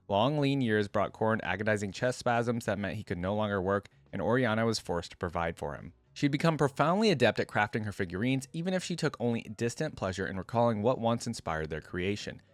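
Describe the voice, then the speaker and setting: A man hosting a reaction video, switching between casual commentary and reading lore aloud, thinking out loud as he goes. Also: on the low side, no echo. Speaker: YouTube reaction host.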